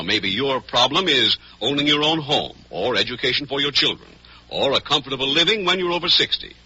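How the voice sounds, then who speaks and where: A man's voice: mid-range voice, bright, forceful tone, slightly rough. An American man announcing for a 1940s radio sponsor with emphatic, polished salesmanship. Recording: dull, band-limited vintage radio recording.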